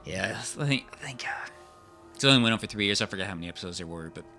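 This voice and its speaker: Low-pitched man with a bright voice, chatting. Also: animated, very fast, creaky, faint music behind. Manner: rambling, repeats phrases. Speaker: podcast co-host. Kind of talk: casual chat reviewing horror radio.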